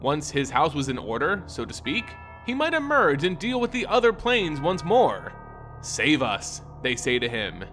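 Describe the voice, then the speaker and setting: Dramatic male storyteller with swooping pitch. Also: huge pitch swings, measured pace, fairly bright tone, faint music behind. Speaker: storyteller and lore narrator. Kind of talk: dramatic scripted story narration.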